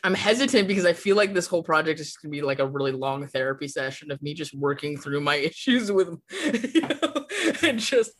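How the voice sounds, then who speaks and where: A woman's voice: low voice, lively intonation, brisk. A young woman guest on a podcast, talking casually and often laughing. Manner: chatty, laughs often, fillers like "like". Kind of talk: casual conversation.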